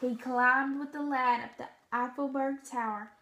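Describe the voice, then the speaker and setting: Woman teacher, read-aloud for children: high, slow, expressive, with some fry. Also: slight background noise. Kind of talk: storybook narration and instructions to children.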